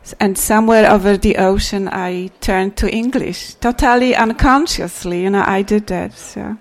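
A middle-aged woman from Poland with a Polish accent, a native Polish speaker, answering audience questions as a poet in a relaxed, reflective way with soft laughs. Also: mid-range voice, moderate pace. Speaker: poet and translator. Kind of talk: Q&A after a poetry reading.

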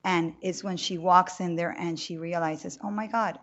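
A woman's voice: fairly low pitch, normal speed, muffled recording. A woman from Colombia, a novelist talking animatedly on a panel before an audience, often restarting her sentences. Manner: animated, talkative, frequent restarts. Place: room with a live audience.

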